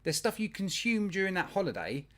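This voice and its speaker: A man's voice: high voice, brisk. A man co-hosting a live show in casual chat, telling stories and laughing often. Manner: animated storyteller, laughs often. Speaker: podcast co-host.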